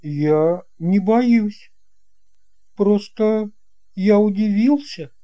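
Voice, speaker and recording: A man's voice: high voice, very slow, dark tone, voice pushed up. A man voicing a polite, slightly unsure young boy traveller in a storybook tale, earnest and hesitant.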